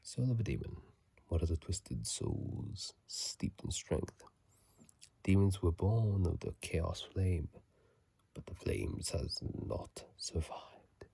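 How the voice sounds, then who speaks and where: Very low, breathy man murmuring ASMR. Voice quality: breathy, husky half-whisper. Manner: soft, soothing, unhurried murmur with repeated phrases. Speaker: host of a gaming ASMR video. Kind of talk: whispered ASMR game commentary. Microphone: phone microphone, close up.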